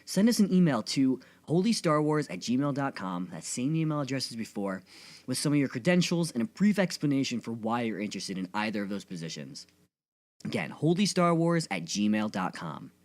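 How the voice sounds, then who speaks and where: A male podcast host reads from a script with an earnest tone. His pitch sits in the middle range for a man and moves up and down in a lively way. He enunciates carefully.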